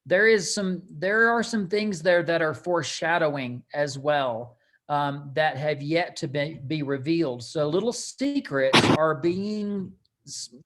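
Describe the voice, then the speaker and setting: Male read-along host, voice a little higher than average, informal and halting, circling through explanations. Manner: rambling, halting explanations with repeated words. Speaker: host and co-author leading a book read-along. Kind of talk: casual live book discussion.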